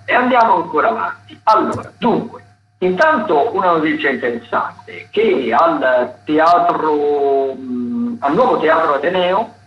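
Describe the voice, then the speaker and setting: A man's voice: on the high side, lively intonation, unhurried, faint music behind. A man presenting cultural listings on the radio in a spontaneous, digressive way, often searching for words. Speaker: theatre critic and agenda presenter. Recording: dull, lo-fi radio sound.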